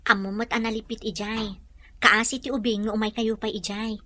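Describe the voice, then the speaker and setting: A woman playing a caring mother who gently advises her grown daughter in a radio drama. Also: medium pitch, flat delivery, a little fast, close to an everyday voice. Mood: concerned, advising. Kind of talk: Ilocano radio drama acting.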